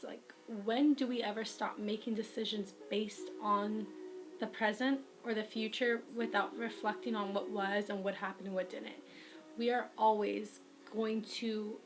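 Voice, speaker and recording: A young woman with a raspy voice, speaking to viewers into a webcam. She gives earnest, friendly advice in a casual vlog. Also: a little high, poor audio. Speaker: writer giving advice.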